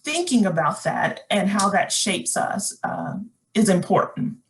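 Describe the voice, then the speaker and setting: A woman's voice: mid-range voice, animated, measured pace, a little hiss or hum, poor audio. A middle-aged woman presenting a webinar workshop, talking conversationally with fillers and restarts while reading chat comments aloud.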